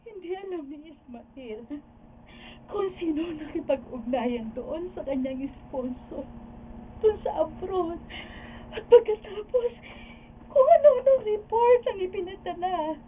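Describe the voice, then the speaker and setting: A tinny, phone-like recording of a radio drama. An actress plays a distressed, devoted wife with a very high voice, speaking slowly in a plaintive, weepy manner. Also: female voice, expressive, slight background noise, heavily acted. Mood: tearful, aggrieved. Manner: plaintive, weepy, drawn-out delivery. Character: distressed loyal wife confiding tearfully in a friend. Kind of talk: radio drama acting in Tagalog.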